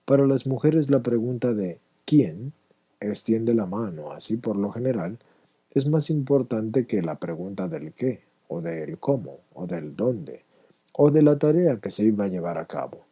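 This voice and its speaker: A man's voice: on the low side, warm tone, slightly rough. A man reading a personal testimony aloud as scripted narration.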